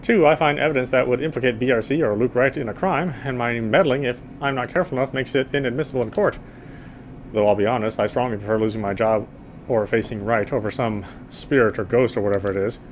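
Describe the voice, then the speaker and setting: An actor playing a guarded, dry-witted young man who cares quietly, speaking his inner thoughts and dialogue in a wry, introspective way. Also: medium pitch, fast talker, a little hiss or hum, close to an everyday voice. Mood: reflective. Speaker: game protagonist. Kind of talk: first-person inner monologue and dialogue.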